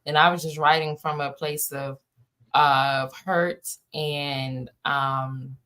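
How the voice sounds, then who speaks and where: A woman's voice: deep voice, fairly bright tone. A woman from Washington, D.C., chatting casually as an interviewed playwright, in an emphatic, rambling conversational way. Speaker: playwright and director being interviewed.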